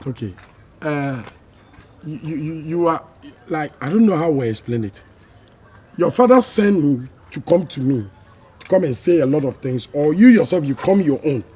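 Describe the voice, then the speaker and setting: A man's voice: a little high, animated, moderate pace, long pauses, mellow, husky. An actor with a Nigerian accent playing a proud, menacing man in a land dispute, blustering and throwing challenging questions in film drama dialogue. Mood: questioning, incredulous.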